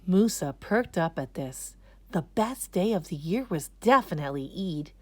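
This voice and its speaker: A woman reading a children's story aloud as an expressive narrator. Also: on the low side, moderate pace, no echo. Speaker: storybook reader.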